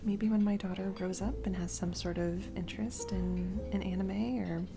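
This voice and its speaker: A woman playing a flustered single mom on a blind date in a romantic audio roleplay, speaking haltingly with nervous giggles. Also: medium pitch, fairly even intonation, gravelly, natural voice. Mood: embarrassed, giggly. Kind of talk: romantic audio roleplay, intimate conversational acting. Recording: music playing behind the voice. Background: music, restaurant ambiance.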